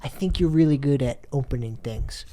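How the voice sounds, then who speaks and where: A man's voice: mid-range voice, normal speed, dark tone. A man chatting casually as a player in a tabletop game, tossing in playful in-character quips.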